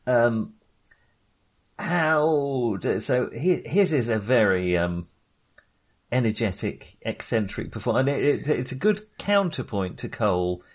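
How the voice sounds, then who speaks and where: A man co-hosting a casual film discussion, speaking in a halting, self-correcting way. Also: medium pitch, big pitch swings. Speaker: podcast co-host.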